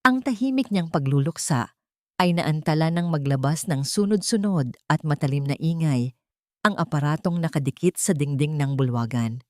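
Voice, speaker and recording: Female storyteller for scripted dramatic audio fiction. Lower register, close dry sound. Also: a little mellow, no echo.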